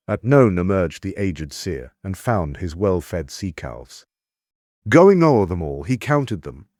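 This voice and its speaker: Epic audiobook role: resolute ancient warrior king, deep and dramatic storyteller, dry close recording. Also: a little mellow, no room sound. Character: Greek warrior king recounting his hardships, resolute, earnest.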